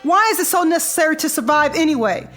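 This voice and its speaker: High-pitched American wife, weary, hopeless, questioning, vintage radio drama. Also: female voice, animated, fast talker, a bit bright, faint music behind. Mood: despairing. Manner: fatalistic, questioning. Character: despairing, weary wife doubting survival.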